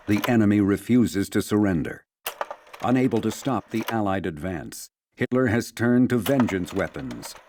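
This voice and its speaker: A man voicing a sober, measured narrator of World War II history in a video game. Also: lowish voice, a little mellow, a little hiss or hum. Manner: measured, grave. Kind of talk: scripted documentary-style narration. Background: music.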